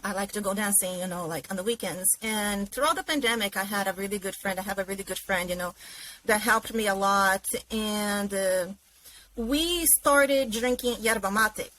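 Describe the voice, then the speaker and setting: A woman's voice: mid-range voice, moderate pace, sharp tone, slight background noise, poor audio. A woman from Brazil, a ceramist guest, chatting casually and filling her talk with "you know" and easy laughter. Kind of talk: casual interview chat.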